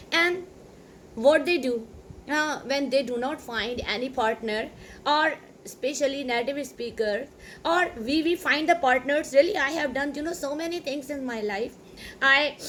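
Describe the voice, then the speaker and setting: Chatty Pakistani woman, higher voice. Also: a little hiss or hum. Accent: Sindhi-Pakistani English. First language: Sindhi. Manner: rambling, full of "you know" and fillers, emphatic. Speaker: English teacher. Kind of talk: casual talk to camera.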